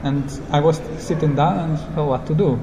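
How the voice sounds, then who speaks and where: A man who speaks English as a non-native language, telling travel stories as a guest in a casual interview, in simple, halting, reflective phrases. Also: fairly high pitch, lo-fi sound. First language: not English. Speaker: interview guest, long-term hitchhiking traveller. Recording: clear background ambient noise.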